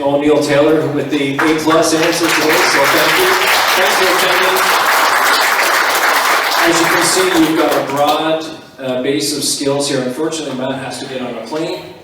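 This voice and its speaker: A man moderating a conference panel, upbeat and brisk. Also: a little high, steady tone, a loud crowd, very noisy. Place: large conference hall. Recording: echoey hall, mic far off.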